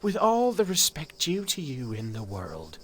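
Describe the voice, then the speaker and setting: A man's voice: on the high side, huge pitch swings, a little slow, husky, heavily acted. A man playing a hot-tempered, exasperated estate manager in an audio drama, blustering and emphatic. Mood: strained politeness.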